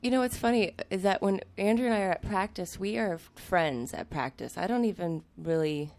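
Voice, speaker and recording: A woman's voice: medium pitch, normal speed, darkish tone. A woman singer with a cold, chatting casually and laughing often as a guest in a radio studio. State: nursing a cold. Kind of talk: casual radio interview chat.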